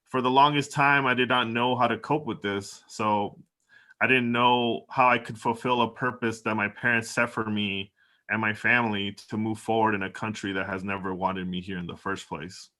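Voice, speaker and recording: A man's voice: lowish voice, steady tone, moderate pace, pushed and bright. A man from El Salvador, a doctoral student, giving earnest, reflective opening remarks as the moderator of a panel.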